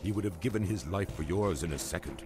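A man acting as an old fantasy game knight speaks low and grave, full of sorrow and reproach. Faint music plays behind him. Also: fast, natural voice. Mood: sorrowful reproach.

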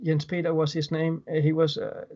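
A Danish-accented man in his thirties talks in a slightly high voice, stumbling and repeating words as he goes. He sits at home on a video call. The sound is dull and lo-fi. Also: completely flat delivery, moderate pace, warm tone. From Denmark. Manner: hesitant, repeats words while recounting. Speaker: guest. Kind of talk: casual interview over video call. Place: home room indoors. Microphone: webcam on video call.

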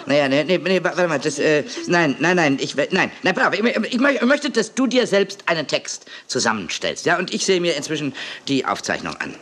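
A man's voice: fairly high pitch, big pitch swings, a little fast, fairly bright tone, a little hiss or hum. A man playing a fussy, scatterbrained, overbearing TV director who stammers and repeats himself in a children's audio drama. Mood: flustered, dismissive.

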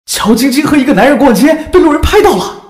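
A starry-eyed woman following online gossip, fairly high and animated. She sounds eager, in an echoey audio drama with music. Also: fast talker. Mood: breathless gossip. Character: curious, starry-eyed female internet gossip fan.

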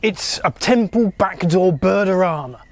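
A man's voice: sits high, measured pace, no room sound. Wry middle-aged man talking outdoors. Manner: relaxed, wry, conversational. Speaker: birding vlogger. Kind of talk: on-camera presenting. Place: outdoors beside a city canal.

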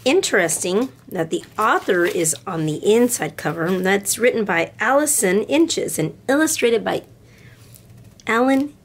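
A woman reading a picture book aloud to children in a deliberate, expressive way. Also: mid-range voice. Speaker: storybook reader for children.

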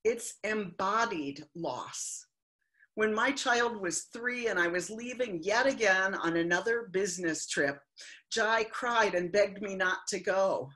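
Slow reflective older woman preaching. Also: mid-range voice, a bit bright. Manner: slow, reflective, heartfelt delivery. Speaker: minister. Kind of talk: sermon. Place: home living room. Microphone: webcam on video call.

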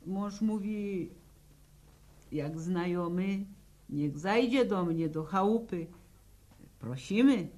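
Stubborn, haunted peasant war widow, mid-pitched, drawn-out rural delivery for 1960s radio drama. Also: female voice, darkish tone. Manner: halting, sombre storytelling in plain rural speech.

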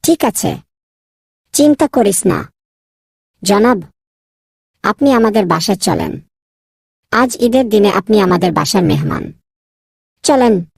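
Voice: medium pitch, lively intonation, slow pace, gravelly, pauses often, slightly rough, no room sound, clean studio sound, heavily acted. A performer voicing a kind, bold young boy in a children's cartoon, insistent and polite to elders. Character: kind, bold young boy Nilu, bossy with his friend. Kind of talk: children's moral cartoon dubbing.

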